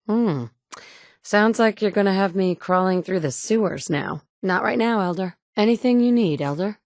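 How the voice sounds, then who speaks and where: A woman's voice: mid-range voice, moderate pace, dry recording, lightly acted. A woman voicing the player character in a video game, a capable survivor who talks in a direct, firm way. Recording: dry studio recording.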